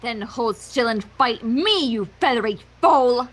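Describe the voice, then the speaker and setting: A woman's voice: fairly high pitch, animated, unhurried. A woman playing a haughty, scornful female changeling villain with a sneering, defiant delivery. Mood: exasperated.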